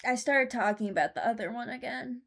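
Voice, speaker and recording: A woman's voice: fairly high pitch, brisk, a bit bright. A woman giving casual commentary, thinking aloud in a hesitant, deliberating way and often correcting herself. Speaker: host ranking game voice lines.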